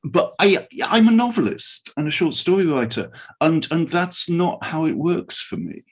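Man, fairly high raspy voice, animated intonation, casual interviewer. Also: normal speed. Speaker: interviewer, novelist and short story writer.

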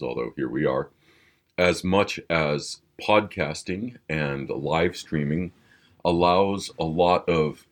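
Male co-host, extremely deep and husky, deliberate, articulate conversational delivery. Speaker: podcast co-host. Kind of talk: casual discussion.

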